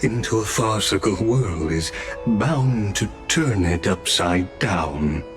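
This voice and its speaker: An actor playing a composed, knowing guide in a video game, with a formal, solemn and measured delivery. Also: male voice, on the low side, lively intonation. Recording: background music.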